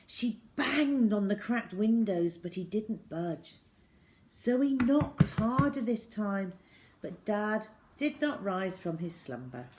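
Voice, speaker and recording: Woman, children's storyteller, unhurried pace, mid-range pitch, expressive scripted reading. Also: a little hiss or hum, rough recording.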